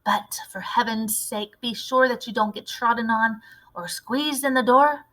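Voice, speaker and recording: This is a loving old grandmother from a storybook, played by a woman reader. She speaks in a measured, bright voice, shrewd and cautious, fondly admonishing and encouraging. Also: a little high, low-quality recording. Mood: urging.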